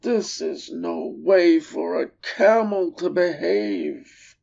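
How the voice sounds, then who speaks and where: A woman voicing a weary, proud old camel in a storybook, groaning with self-pity in a drawn-out, dramatic way. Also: fairly low pitch, gravelly, dark tone, muffled recording, heavily acted. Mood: groaning, ashamed. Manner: slow, drawn-out, dramatic delivery.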